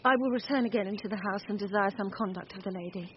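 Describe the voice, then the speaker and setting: An actress playing a timid, gentle young woman disguised as a gentleman, speaking politely and anxiously in a Shakespearean radio drama. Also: mid-range voice, very fast, lightly acted. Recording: muffled radio recording, faint noise.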